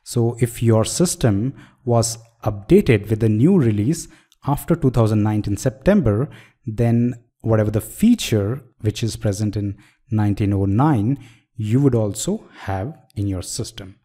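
A man teaching a screen-recorded software tutorial, explaining step by step and asking the viewer questions. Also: lowish voice, expressive, a little slow. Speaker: software trainer.

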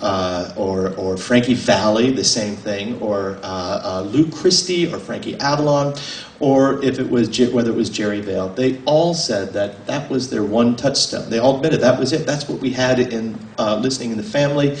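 Halting man talking in a roomy library. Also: mid-range voice, a little hiss or hum. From United States. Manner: rambling and halting, many fillers and restarts. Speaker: author. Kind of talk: informal talk to a small audience. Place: library room, indoors. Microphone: table mic on a stand, filmed from a distance. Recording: roomy, mic at a distance.

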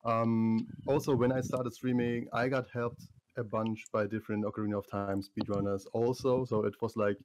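A man, a guest on a voice call, answering in a relaxed, drowsy, unhurried way. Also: fairly low pitch, slight background noise. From Germany. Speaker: guest streamer. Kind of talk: casual interview over voice call.

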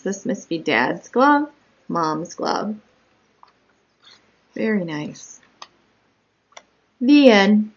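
A woman reading a picture book aloud and commenting on it in an unhurried, gently explanatory manner. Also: on the low side, big pitch swings, dull audio. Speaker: storyteller, art teacher.

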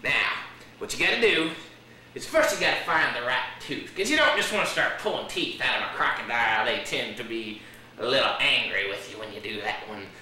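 배우가 연기하는 허풍 센 호주 악어 조련사 남자, 농담을 좋아하고 느릿한 시골 말투에 과장된 호주 억양, 멀리서 울리는 방에서 녹음됨. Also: male voice, mid-range voice, lively intonation, a little fast, pushed and bright, a little hiss or hum. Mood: folksy boastful. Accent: put-on Australian. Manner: jokey, folksy, drawling, laughs at own jokes. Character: boastful joking Australian crocodile handler, man. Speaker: guest playing a crocodile dentist. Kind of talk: comedy sketch acting. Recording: echoey room, heard from a distance.

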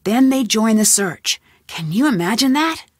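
A woman's voice: fairly high pitch, animated, a little slow, no room sound. An actress voicing a curious, wry young female amateur detective in a video game, with dry asides. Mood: uneasy. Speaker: game protagonist. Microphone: close studio mic.

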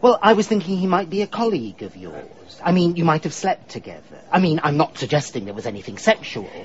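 A man's voice: on the high side, very animated, fast, highs cut off. An actor playing a polite, babbling, fussy PR man in a radio drama. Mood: awkward, chatty. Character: chatty, tactless, harmless male PR executive.

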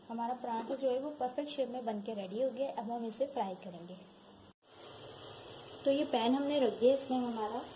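A woman with a speech hosting a home cooking tutorial, calmly walking viewers through each step in her kitchen. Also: on the high side. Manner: calm, instructive, addresses viewers directly. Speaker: cooking channel host. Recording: noisy home recording with background ambience.

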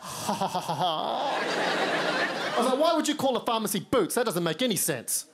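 A young man with a New Zealand accent doing stand-up on a TV studio stage into a handheld mic, wry and self-mocking, dropping into lower voices for quoted lines. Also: big pitch swings, a little slow, gravelly, a loud crowd. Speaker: stand-up comedian. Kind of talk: stand-up set. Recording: studio stage with audience noise. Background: studio audience laughter.

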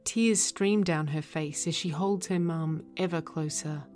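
A woman narrates a script with a notably low voice, reading stage directions in a measured, deliberate way. Faint music plays beneath her. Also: a little mellow.

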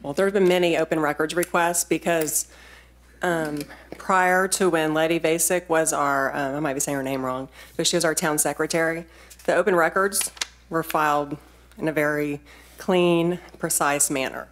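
A woman in her forties speaking at a podium in a council chamber, insistent and quick to interrupt. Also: deep voice, steady tone. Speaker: resident speaking at a public meeting. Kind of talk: public comment at a town board meeting. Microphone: standing podium mic from a distance.

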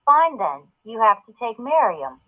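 A woman's voice: a little high, sing-song, measured pace, phone-quality sound. A woman voicing a stern, insistent mother who scolds her children in a storybook.

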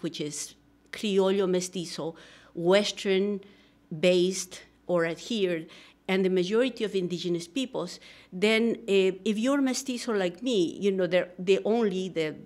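A middle-aged woman from Peru with a Peruvian Spanish accent, speaking earnestly as a guest in a radio studio interview, with many fillers and restarts. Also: mid-range voice. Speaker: guest, social psychologist. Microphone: studio microphone, headphones.

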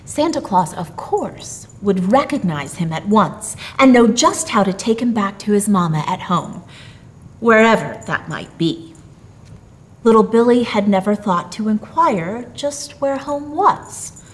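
A middle-aged woman reading a story aloud in expressive, theatrical narration with drawn-out emphasis. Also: medium pitch. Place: small dark theatre stage, indoors.

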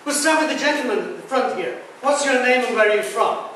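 A man's voice: very high voice, animated, brisk, a bit bright, a little hiss or hum. A British-born man in his sixties giving a talk to an audience, chatty and joking with them. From Britain. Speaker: guest speaker. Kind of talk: public talk. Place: large echoey lecture room. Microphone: far camera mic. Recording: echoey room from far off.

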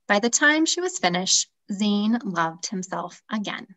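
Mid-pitched woman reading a story. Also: very animated, unhurried, fairly bright tone, a little hiss or hum, rough recording. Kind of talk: reading a children's story aloud. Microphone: video call.

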